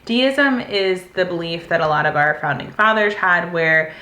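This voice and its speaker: Young adult woman, candid and rambling storyteller, lowish bright voice, reverberant room. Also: animated, normal speed. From United States. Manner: candid, rambling, frequent "like" fillers and restarts. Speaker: vlogger. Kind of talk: casual personal storytelling. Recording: echoey room.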